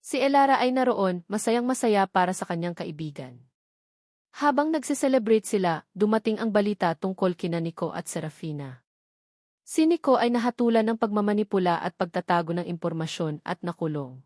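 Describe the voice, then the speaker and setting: A woman with a Filipino accent reads a scripted love story as its narrator. Her mid-pitched voice is earnest and sentimental, with measured, dramatic phrasing. The sound is close and dry, without room echo. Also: animated, a little mellow. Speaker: narrator of online love stories.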